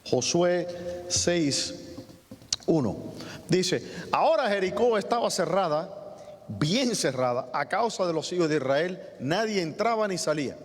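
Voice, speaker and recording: A man's voice: sits high, animated, measured pace, pauses often. A man with a Cuban Spanish accent, preaching as pastor, who reads scripture aloud and then explains it with asides to the congregation. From Cuba. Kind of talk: sermon with scripture reading. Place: church sanctuary. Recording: long church hall reverb.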